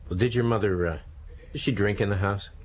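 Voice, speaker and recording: Radio drama detective: blunt, probing man played by an actor, lower register, sceptical delivery. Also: fairly quick, natural voice. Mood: pressing. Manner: pressing, sceptical. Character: blunt, probing 1950s American police detective.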